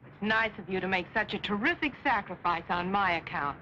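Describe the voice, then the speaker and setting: A woman's voice: mid-range voice, expressive, fairly quick, pushed and bright, slight background noise. An actress playing a spirited, earnest young society woman in a 1940s film serial. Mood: teasing. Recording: dull 1940s film soundtrack with music.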